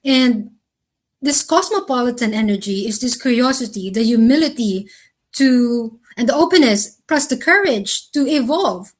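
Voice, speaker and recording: Woman, interview guest, reflective, earnest, slightly high voice, measured delivery. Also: animated. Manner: thoughtful, earnest, slow deliberate phrasing.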